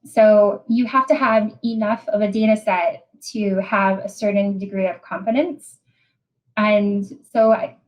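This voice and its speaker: A woman from Hawaii with a Hawaiian accent, giving a technical webinar talk over a video call, often pausing and repeating words as she explains. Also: mid-range voice, lo-fi sound. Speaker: presenter.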